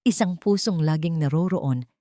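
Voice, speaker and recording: A woman's voice: deep voice, moderate pace, warm tone, dry recording. A woman reading a scripted, dramatic love story as its narrator.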